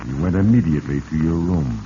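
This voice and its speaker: An actor playing an ominous voice of fate, narrating solemnly and accusingly in 1940s radio mystery style. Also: male voice, extremely low pitch, lively intonation, fast talker. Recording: muffled vintage radio recording with hiss.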